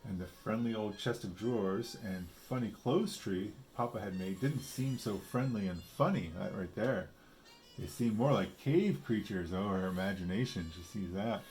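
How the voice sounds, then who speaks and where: A man's voice: fairly low pitch, normal speed, a little mellow, faint music behind. A man reading a picture book aloud with casual asides, explaining simply as if to a young child. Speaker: storybook reader.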